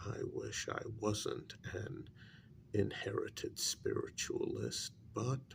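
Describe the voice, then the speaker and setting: A man acts out a grave male spiritualist who talks extremely slowly in a low, soft, gravelly voice. He picks his words with care and hesitates before hard news, in a hushed ASMR role-play style with background noise. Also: exaggerated voice. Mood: somber, weary. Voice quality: soft, low, creaky voice, breathy in places. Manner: very slow, deliberate, hesitant, with long pauses.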